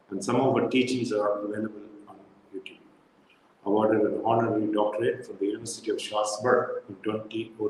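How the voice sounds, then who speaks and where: Man reading a formal introduction, echoey. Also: mid-range voice. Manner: measured, reads a list of titles and dates. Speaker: host introducing a guest speaker. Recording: roomy echo with long reverb, faint noise.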